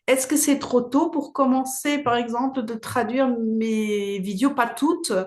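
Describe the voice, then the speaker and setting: A woman with a slightly raised pitch who grew up speaking German talks tentatively, stumbling over the first words before she settles into her question. Her tone stays polite and easy. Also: steady tone, measured pace. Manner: hesitant, repeats words when starting. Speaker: coaching client.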